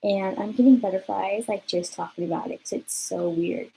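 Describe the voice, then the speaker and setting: A young woman from California rambles through a personal storytime, filling gaps with "like" and "um" and swearing freely. Her voice sits in a middle pitch. A faint background hiss runs under the recording. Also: normal speed, creaky, mellow, rough recording. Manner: chatty, lots of fillers and swearing. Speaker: vlogger. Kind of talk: casual storytime vlog.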